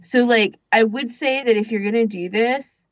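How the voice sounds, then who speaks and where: A woman's voice: fairly high pitch, normal speed, no room sound. A woman co-hosting a podcast in casual chat, speaking informally and emphatically. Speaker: podcast co-host.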